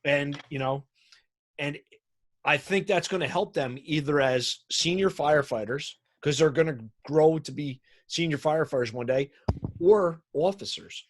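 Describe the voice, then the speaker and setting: Middle aged guy just chatting, voice a bit on the high side, plain informal talk. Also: a little slow, slight background noise. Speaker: firefighter on a radio show. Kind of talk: casual chat.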